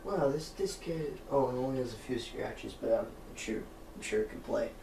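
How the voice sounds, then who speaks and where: A teenage boy talks casually as the host of his own gaming channel, rambling and restarting his sentences as he goes. His voice sits at an ordinary pitch for a young man. The recording has a roomy echo from a room at home, with a faint hiss of noise underneath. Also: normal speed, creaky. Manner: rambling, chatty, self-correcting. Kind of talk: casual let's-play chat.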